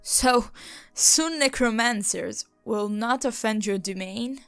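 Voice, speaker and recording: A woman's voice: on the high side, takes it slowly, a bit bright, smooth, no echo, faint music behind. A woman acting an indignant, territorial nature goddess in a fantasy audio drama, scornful and complaining. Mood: disgusted.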